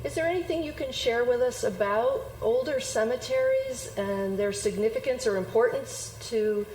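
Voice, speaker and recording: A woman's voice: a little high, very animated, moderate pace, darkish tone. A woman hosting an interview program in a prepared, organized style, asking questions and giving thanks. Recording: echoey room with background noise.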